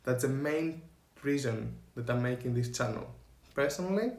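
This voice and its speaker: A young man with a Greek accent, talking earnestly to camera in slow, halting speech as he searches for words. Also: medium pitch, expressive, darkish tone, roomy sound. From Greece. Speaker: vlogger.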